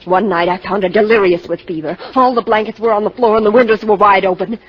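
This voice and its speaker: Actress as distraught young woman, earnest dramatic delivery, medium pitch, 1950s radio drama, muffled recording. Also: fairly even intonation, fast, slight background noise, natural voice. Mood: haunted. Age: young adult. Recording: muffled vintage radio broadcast.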